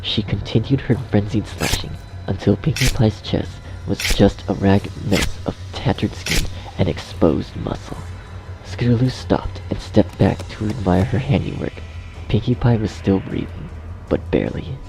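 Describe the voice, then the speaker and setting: A man's voice: fairly low pitch, expressive, unhurried, creaky, poor audio. A man reading scripted horror story narration as the narrator. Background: music.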